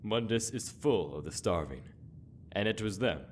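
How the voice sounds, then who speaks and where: Man acting a deep, menacing inquisitor. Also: expressive, normal speed, slight background noise, lightly acted. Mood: mocking, solemn. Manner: solemn, accusatory rhetorical questioning. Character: stern, menacing male inquisitor, contemptuous and commanding. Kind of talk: dramatic fantasy game monologue.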